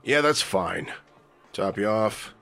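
An actor playing a chatty, eager-to-please bartender in a comedy-horror radio play, friendly and a little intrusive. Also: male voice, on the low side, expressive, slow pace, creaky, fairly bright tone, soft background music, exaggerated voice. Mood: apologetic. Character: chatty, eager-to-please bartender who owns a spooky bar.

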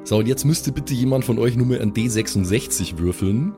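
A man narrating an improvised tabletop role-play story as game master, in a deliberate, suspenseful storytelling manner. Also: mid-range voice, lively intonation, over music.

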